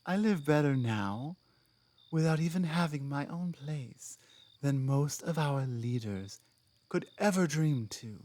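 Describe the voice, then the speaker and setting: A woman playing a strong, proud, guarded female orc in an intimate ASMR roleplay, low-voiced, speaking in deliberate, halting phrases. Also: lively intonation, warm tone. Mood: earnest, bitter. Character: strong, proud, earnest female orc companion, guarded about her past. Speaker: audio roleplay performer. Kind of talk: ASMR dramatic roleplay, intimate monologue to listener.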